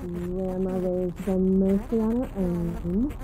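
A woman's voice: lowish voice, fairly even intonation, takes it slowly, background noise. A woman playing a chatty small-town niece reminiscing about her uncle in a folksy, rambling documentary interview. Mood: fond reminiscing. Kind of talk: acted documentary interview, conversational.

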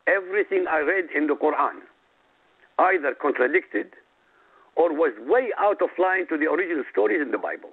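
A man's voice: on the high side, animated, husky. A man from Iraq whose first language is Arabic, a radio guest reading out a prepared statement in an emphatic, declamatory way. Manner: emphatic, declamatory, lists items slowly. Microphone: phone. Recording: tinny phone line.

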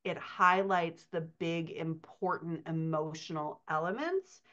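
Middle-aged woman, lower register, coach answering questions conversationally with emphasis, slightly lo-fi call audio. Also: steady tone, takes it slowly, bright, forceful tone. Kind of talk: conversational podcast Q&A, explanatory. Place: indoors on a video call. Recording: dull, muffled video-call audio.

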